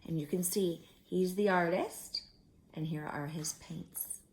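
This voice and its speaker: A woman's voice: lowish voice, slow. A woman storyteller reading a picture book aloud to young children and explaining it simply. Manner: explains pictures simply, sometimes dropping to a hush.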